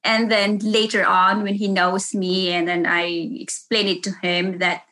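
A woman's voice: medium pitch, flat delivery, unhurried, sharp tone, poor audio. A middle-aged woman with a Filipino accent, a nurse telling casual stories in an interview, chatty and laughing often. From Philippines. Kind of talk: casual storytelling.

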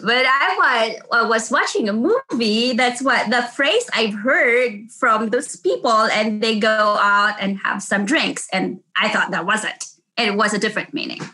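Bright-voiced Filipino-accented woman. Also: on the high side, a little slow, rough recording. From Philippines. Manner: chatty, laughs often, acts out quotes. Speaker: nurse, interview guest. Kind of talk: casual storytelling.

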